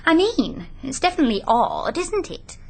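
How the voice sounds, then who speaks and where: A woman's voice: sits high, big pitch swings, normal speed. An English woman in a British radio drama playing a scatterbrained, breezily greedy young wife, artless and chatty. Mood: puzzled, gossipy.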